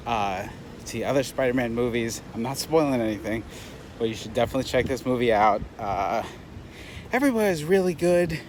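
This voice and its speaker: A man giving a casual, unscripted movie review as a vlogger, in a halting, rambling way that trails off mid-thought. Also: mid-range voice. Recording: loud outdoor urban traffic noise.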